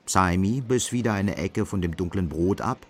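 A man's voice: sits low, normal speed, slight background noise, natural voice. A man narrating a literary radio drama in scripted prose.

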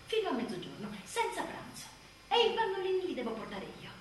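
A woman's voice: very high voice, big pitch swings, brisk. An actress playing an exhausted, anxious young mother in a confessional radio theatre monologue, moving between intimate confession and sardonic outburst. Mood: sardonic, exasperated. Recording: very echoey stage, distant mic, faint noise.

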